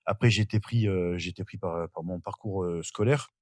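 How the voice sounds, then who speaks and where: Low-pitched guy from northern france, guest on a podcast, chatty and loose, lots of "euh", dry close mic. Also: a little fast, no room sound. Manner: relaxed storytelling with many fillers and restarts. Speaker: interview guest. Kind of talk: casual podcast interview.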